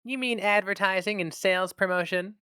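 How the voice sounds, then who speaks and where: A man's voice: high voice, steady tone, moderate pace, bright, forceful tone, smooth, no echo, voice pushed up. A man voicing a sober, curt young female receptionist in a dramatic story reading. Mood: doubtful.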